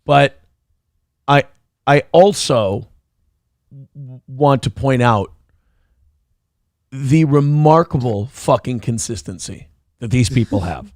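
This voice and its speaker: A man's voice: medium pitch, expressive, slow pace, long pauses, darkish tone, dry recording, clean studio sound. A man hosting a morning show, bantering casually and profanely, rambling and exasperated as he reads out donor messages.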